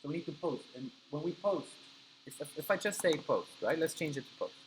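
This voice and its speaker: A man's voice: fairly high pitch, animated, a little fast, a little hiss or hum, rough recording. A man whose first language is Spanish teaches a live coding class, explaining step by step and checking in with his students. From Argentina. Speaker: coding instructor.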